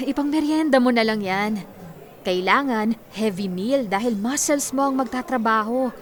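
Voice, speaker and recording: An actress playing a kind but firm young office worker in a Filipino radio drama, friendly and assertive. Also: female voice, fairly high pitch, a little slow, lightly acted. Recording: music bed under dialogue.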